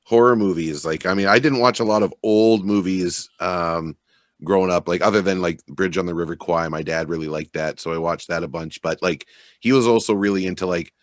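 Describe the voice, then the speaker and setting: A man in casual radio-show chat, talking in an expressive, loosely conversational way. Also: sits low, normal speed, no room sound, studio quality.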